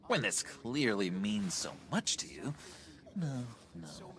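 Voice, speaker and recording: Casting: jittery, needy male oddball, lowish creaky voice with big pitch swings, wheedling game dialogue. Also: big pitch swings, a bit bright, a little hiss or hum, full character voice. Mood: wounded, wheedling. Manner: theatrical, halting, wheedling. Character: unstable, needy, overfamiliar man, eerily polite and jittery. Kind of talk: video game voice acting. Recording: music behind voice.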